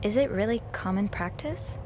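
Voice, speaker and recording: A woman's voice: medium pitch, animated, moderate pace, noisy background, lightly acted. A woman playing an anxious young hotel maid in a video game, with a hesitant, earnest way of talking. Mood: earnest, hushed.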